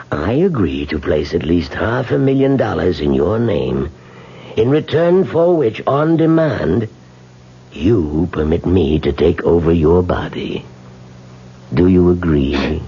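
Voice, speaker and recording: Deep raspy rich old man, slow and insistent, old radio drama. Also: big pitch swings, heavily acted. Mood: firm, demanding. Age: older. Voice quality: husky, gravelly. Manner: slow, deliberate, persuasive. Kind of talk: 1970s radio drama acting. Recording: dull old radio recording.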